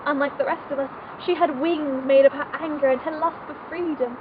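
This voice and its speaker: A woman's voice: sits high, lightly acted. A young woman playing an intense, repressed aristocratic spinster haunted by her youth, in a theatrical confessional monologue. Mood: impassioned. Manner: theatrical, confessional, deliberate phrasing. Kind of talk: dramatic monologue. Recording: tinny band-limited noisy amateur film sound.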